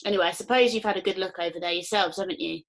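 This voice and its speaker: A woman's voice: on the low side, steady tone, very fast, fairly bright tone. A woman voicing a sly island father who plays innocent in a folksy, evasive way.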